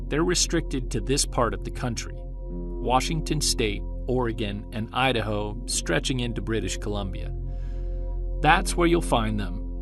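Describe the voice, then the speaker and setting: A man's voice: mid-range voice, natural voice. An actor as an earnest, weary man confiding, music behind. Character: earnest, weary man obsessed with proving Bigfoot exists. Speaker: narrator and lead of fiction podcast. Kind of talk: audio drama, confessional recorded diary.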